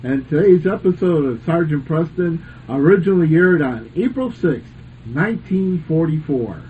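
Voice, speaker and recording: A man's voice: a little high, lively intonation, moderate pace. A man hosting and casually introducing an old radio program. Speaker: show host. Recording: thin, lo-fi with faint noise.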